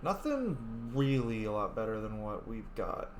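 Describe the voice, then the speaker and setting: A man's voice: on the low side, big pitch swings, normal speed. A tired man casually commenting as he plays a video game, muttering to himself. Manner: mumbling, talking to himself while playing. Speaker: game streamer. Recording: roomy with clear background noise.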